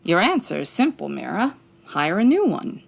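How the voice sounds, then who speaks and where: A woman playing a brisk, wry, no-nonsense 1940s detective in a comedic old-time radio style. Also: lowish voice, very animated, creaky, exaggerated voice. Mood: dry.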